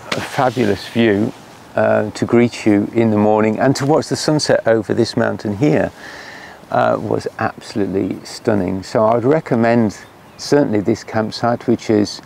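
Man, low gravelly voice, unhurried vlog talk, outdoor lakeside, faint background hiss. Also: a little mellow. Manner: unhurried, hesitant with many fillers. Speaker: cycling vlogger. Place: lakeshore campsite outdoors by mountains. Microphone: camera at a distance.

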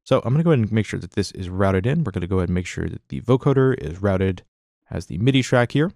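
A man narrating a software tutorial as an instructor, in a casual, conversational, explanatory manner, close to the mic. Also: deep voice, expressive, fast, vocal fry, no room sound. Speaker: music production tutorial instructor.

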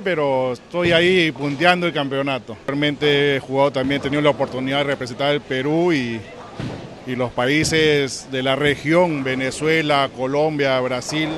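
Male veteran athlete, middle-aged, Peruvian accent, slightly high pitch, casual interview with faint noise. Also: fairly bright tone. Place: large indoor bowling alley. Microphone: handheld interview microphone. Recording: faint bowling alley noise.

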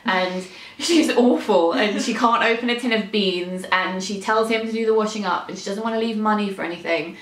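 A woman's voice: medium pitch, moderate pace, few pauses. A young woman in a casual, chatty book discussion, now and then voicing quoted thoughts. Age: young adult. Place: indoor room lined with bookshelves. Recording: roomy echo.

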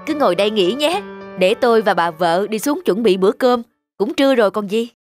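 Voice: mid-range voice, expressive, fairly quick, close to an everyday voice. Someone doing sly stingy rich guy in a cartoon, making excuses, music underneath. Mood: evasive. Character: stingy wealthy man feigning poverty to old friend. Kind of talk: animated morality tale dubbing. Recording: background music throughout.

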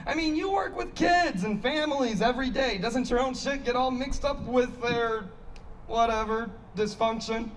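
A man's voice: very high voice, normal speed, a bit bright. A man acting an agitated, anguished character fighting a drinking problem in a staged play reading, with emphatic, repetitive delivery. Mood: needling. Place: small outdoor stage. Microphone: handheld microphone. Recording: distant mic outdoors, background noise.

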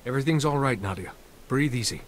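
Composed, brisk Jedi master played by a man. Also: mid-range voice, expressive, slight background noise, close to an everyday voice. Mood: gentle, soothing. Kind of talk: video game cutscene acting. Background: loud game score.

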